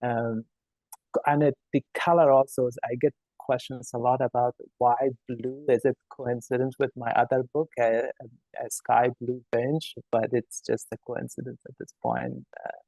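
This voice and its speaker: Guy, gravelly low-key voice, lots of uh and like, dry sound. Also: flat delivery, moderate pace, mellow, dry recording, poor audio. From Afghanistan. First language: Farsi. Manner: hesitant, many fillers and restarts. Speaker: children's author. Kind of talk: panel discussion.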